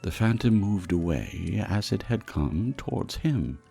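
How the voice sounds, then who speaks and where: Deep, gravelly male story narrator. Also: lively intonation, measured pace, warm tone, soft background music. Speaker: audiobook reader. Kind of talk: dramatic scripted narration of a classic ghost story.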